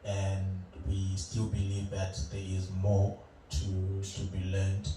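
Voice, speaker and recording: A young adult man from Zimbabwe, a musician chatting casually as an interview guest, relaxed and hesitant with many fillers. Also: low voice, takes it slowly, soft background music. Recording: roomy, distant, noisy room.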